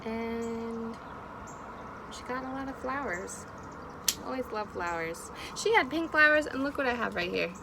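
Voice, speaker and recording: A woman reads a picture book to young children as their teacher, at a very unhurried pace and in a slightly high voice. She is expressive and encouraging, and clear noise runs behind her. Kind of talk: interactive picture-book read-aloud. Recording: clearly noisy background.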